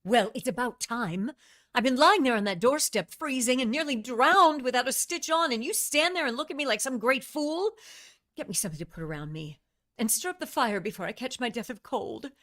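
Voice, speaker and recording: A woman's voice: on the high side, animated, brisk, fairly bright tone. Woman acting seductive, imperious immortal witch. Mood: indignant scolding. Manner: coaxing, teasing, imperious, intimate asides. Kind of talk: vintage radio drama acting.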